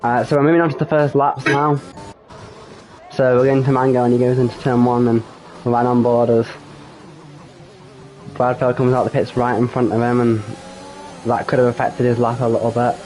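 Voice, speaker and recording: A man calling an online race on his own, his voice a little croaky with a cold. He talks in a halting way, full of ums and quick corrections, at a middling pitch. A faint hum sits behind him. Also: flat delivery, darkish tone, poor audio. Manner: hesitant, frequent ums and self-corrections. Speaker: sim racing league commentator. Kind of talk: solo live race commentary.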